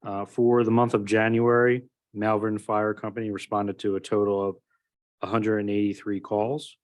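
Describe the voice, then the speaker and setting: A man's voice: lowish voice, flat delivery, moderate pace. A man giving a formal, matter-of-fact report of fire company call numbers at a township meeting. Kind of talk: reading a report at a public meeting.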